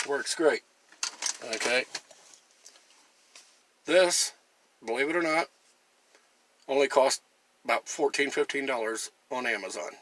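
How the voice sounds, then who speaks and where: A man's voice: medium pitch, unhurried, pauses often, a bit bright, a little hiss or hum. A man talking casually through a product demonstration in a plain-spoken, folksy and chatty way. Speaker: gear reviewer and camper.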